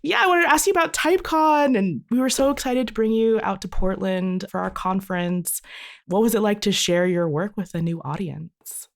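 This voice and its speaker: A woman's voice: mid-range voice, normal speed, creaky. A woman guest-hosting a podcast interview, upbeat and conversational. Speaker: guest host.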